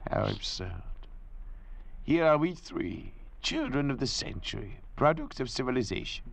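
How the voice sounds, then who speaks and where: An actor playing a grave, lecturing ship's doctor stranded on a raft, who also narrates, in 1940s radio acting style. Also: male voice, mid-range voice, big pitch swings, gravelly, no room sound. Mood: solemn. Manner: measured, pronouncing judgments in a lofty tone.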